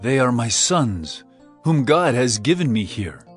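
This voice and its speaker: A dutiful grown son in a scripture drama, played by a man. The voice is husky and medium-pitched, with lively rises and falls. Faint music sits underneath. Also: unhurried. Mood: earnest, respectful.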